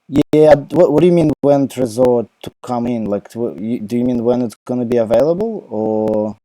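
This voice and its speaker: A young Ukrainian-accented man answers questions live from home into his laptop microphone. His voice is very dark and mellow, and the sound is dry and close. He rambles casually, full of fillers and false starts. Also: mid-range voice, lively intonation, moderate pace, no echo, low-quality recording. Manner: rambling, many fillers and restarts. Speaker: crypto live Q&A host. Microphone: laptop mic.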